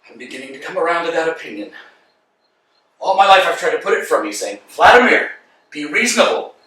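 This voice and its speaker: A man acting a weary, talkative tramp in a staged play reading, wry and theatrical, swinging between loud and soft. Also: a little high, sing-song, fairly quick. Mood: rueful. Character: weary, talkative male tramp waiting endlessly. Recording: echoey room, distant mic.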